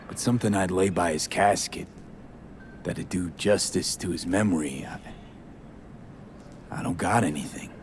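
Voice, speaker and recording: A young male mercenary in a cinematic game, played by an actor, with a very low voice. He is guarded and earnest in his grief, talking in short, clipped phrases over faint ambience. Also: normal speed, long pauses, slight background noise, close to an everyday voice. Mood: earnest, hesitant. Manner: low-key, clipped sentences dropping first words. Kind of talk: cinematic video game acting.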